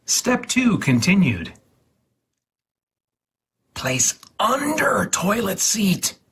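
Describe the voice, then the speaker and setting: A man reading an instruction guide aloud in a mock-serious, theatrical, deliberate way, with a husky voice. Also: high voice, wildly swooping pitch, a bit bright. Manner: theatrical, deliberate, repeats words for emphasis.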